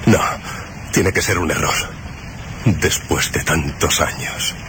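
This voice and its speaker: An actor playing an obsessed, greedy grail seeker in a suspense radio drama, speaking haltingly in awed disbelief. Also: male voice, extremely low pitch, background noise. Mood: stunned disbelief. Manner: halting, repeating words in disbelief.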